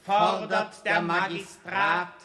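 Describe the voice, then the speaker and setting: An actor playing a pompous town crier, declaiming a drawn-out official proclamation in an echoing space in a radio play. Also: male voice, high-pitched, level tone, sharp tone, heavily acted. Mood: official, stern. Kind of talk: slow ceremonial proclamation in 1960s radio play.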